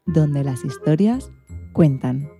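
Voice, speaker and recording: Very mellow, lowish-voiced woman presenter. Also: lively intonation, takes it slowly, faint music behind. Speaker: radio programme presenter. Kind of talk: scripted magazine-show presenting.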